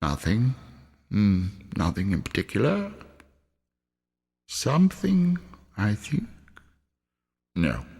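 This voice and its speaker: A man voicing a shaken, remorseful old miser in a storybook reading, halting and pleading as he speaks. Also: lowish voice, huge pitch swings, vocal fry, warm tone, slightly rough, long reverb tail, exaggerated voice. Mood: hesitant regret.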